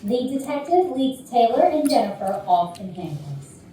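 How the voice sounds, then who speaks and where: A woman's voice: a little high, sing-song, normal speed, mellow. A woman narrator reading stage directions at a staged reading of a play. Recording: very echoey room, distant mic, faint noise.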